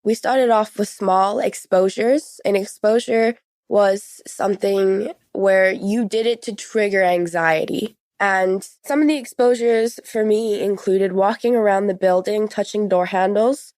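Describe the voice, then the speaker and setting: A woman's voice: mid-range voice, unhurried, a little mellow, no room sound. A teenage young woman answers interview questions in a candid, conversational way. She leans on repeated words for emphasis. The sound is close and dry, with little room. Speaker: podcast guest.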